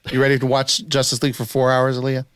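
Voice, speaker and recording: A man with a mid-pitched voice talks as a podcast co-host in a casual, colloquial way. He gives his opinions freely, and the sound is dry, with very little room in it. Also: completely flat delivery, quick pace, a bit bright, clear, resonant tone, dry recording. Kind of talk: casual chat about films.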